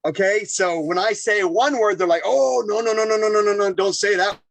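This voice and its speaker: A man, a chef, telling stories in a casual, animated interview with lots of repeated phrases. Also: high voice, brisk, vocal fry. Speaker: chef and podcast guest.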